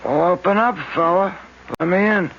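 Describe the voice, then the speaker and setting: An actor playing a menacing gangland debt collector with tough, threatening street talk. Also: male voice, high-pitched, moderate pace, dull audio. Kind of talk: 1970s radio drama acting.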